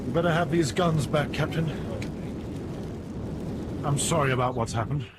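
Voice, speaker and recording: An actor playing a troubled, bitter but decent airline captain in a 1970s radio drama. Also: male voice, normal speed, lightly acted. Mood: regretful. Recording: 1970s radio recording, loud rumbling noise.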